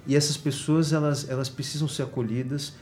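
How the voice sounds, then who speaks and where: A man with a Brazilian Portuguese accent, a university guest in an interview, explaining in an earnest, measured way with frequent hesitations. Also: mid-range voice, fairly even intonation, a little mellow, slight background noise.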